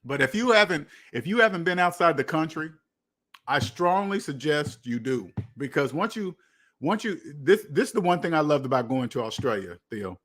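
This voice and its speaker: Higher-pitched American man livestreaming. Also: lively intonation, normal speed, fairly bright tone. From United States. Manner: rambling, repeats phrases for emphasis. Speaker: livestream host. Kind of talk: casual livestream chat, answering viewer comments.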